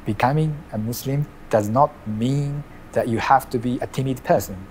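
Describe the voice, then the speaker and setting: Middle-aged Japanese-accented imam, interview, measured and searching for words, medium pitch. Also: male voice, a little mellow, a little hiss or hum. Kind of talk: interview telling his life story.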